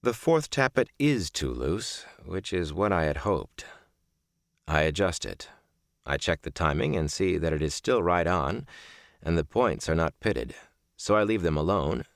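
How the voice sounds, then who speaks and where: A man reading a first-person audiobook in a measured, reflective storytelling manner. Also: deep voice, big pitch swings, creaky, dry recording, studio quality. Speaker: audiobook narrator.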